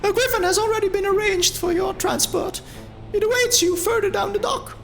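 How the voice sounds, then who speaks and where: Man putting on a shrill, squeaky young gnome girl. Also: fairly even intonation, creaky, a bit bright, heavily acted. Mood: urgent, breathless. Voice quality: shrill, thin. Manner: urgent, halting, repeating words. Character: young gnome girl messenger, breathless, anxious and urgent.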